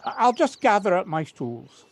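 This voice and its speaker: A woman's voice: medium pitch, very animated, moderate pace. Woman playing a modest gardener with swooping intonation. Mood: polite, reticent. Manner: hesitant, politely deflecting. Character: Alan, modest, dutiful, evasive male gardener. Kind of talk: amateur audio drama acting. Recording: faint noise, home-recorded on personal device.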